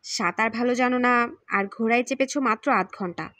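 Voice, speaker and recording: A woman voicing a calm, teasing older adventurer uncle in an audiobook, patient and bantering. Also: a little high, a little fast, no echo. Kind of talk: audiobook character acting.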